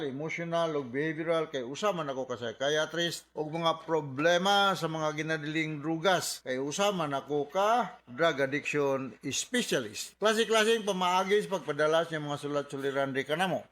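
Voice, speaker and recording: A man with a Cebuano accent who hosts a radio advice program as a doctor, speaking in a measured, lecturing way. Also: a little high, fairly bright tone. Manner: measured, lecturing, code-switching.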